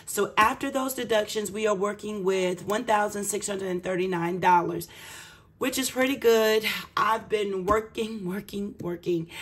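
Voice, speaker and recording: A woman budgeting vlogger chatting casually and explaining things to viewers in a folksy, self-deprecating way, her voice slightly cracked and raspy. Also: fairly low pitch.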